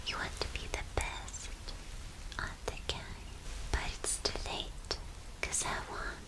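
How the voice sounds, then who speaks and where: A woman's voice: natural voice, poor audio. A woman playing a smug, possessive villain girlfriend in a whispered ASMR roleplay, teasing and condescending as she repeats her phrases.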